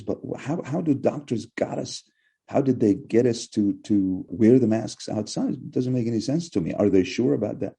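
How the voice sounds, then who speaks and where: Give me a middle-aged man from Egypt, Arabic, speaking English in a low, husky voice. His answers are measured and probing, with frequent restarts as he thinks aloud. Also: dark tone. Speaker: professor, guest. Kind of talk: reflective interview answers.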